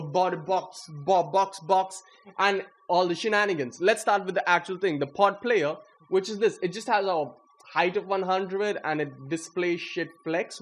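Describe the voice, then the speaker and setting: A young man giving a casual, slangy walkthrough of his code, excitable and self-deprecating. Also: high-pitched. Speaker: coder presenting own project. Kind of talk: casual screen-recorded coding walkthrough.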